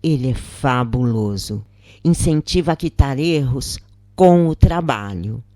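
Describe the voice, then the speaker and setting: A narrator reading a scripted first-person account in measured phrases with pauses. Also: mid-range voice, animated, warm tone, dry recording, clean studio sound.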